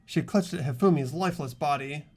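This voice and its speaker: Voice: mid-range voice, fairly quick. A narrator reading scripted, dramatic visual novel text as the protagonist of a game playthrough.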